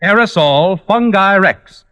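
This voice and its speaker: A man's voice: high-pitched, expressive, slow, bright, forceful tone, smooth, highs cut off. A man with an American accent announcing in polished, emphatic 1950s radio style.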